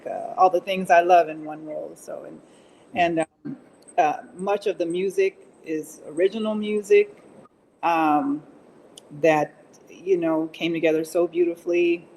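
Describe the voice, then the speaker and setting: A woman's voice: on the low side, darkish tone, slight background noise, poor audio. A woman speaking effusively and enthusiastically as a guest in a conversational interview. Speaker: actress and singer, interview guest.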